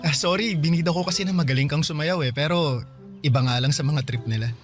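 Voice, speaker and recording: A man acting an eager young boyfriend in a radio drama. His voice is fairly high, casual and attentive, with faint music underneath. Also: fast, natural voice. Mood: attentive, reassuring. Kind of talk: Tagalog radio drama dialogue. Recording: faint music bed behind voice.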